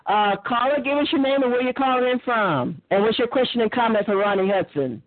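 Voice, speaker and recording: A woman hosting a live radio call-in show, brisk and affirming, taking callers. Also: a little high, no echo. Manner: brisk, affirming, fills with short "mm-hmm"s. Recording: tinny phone line.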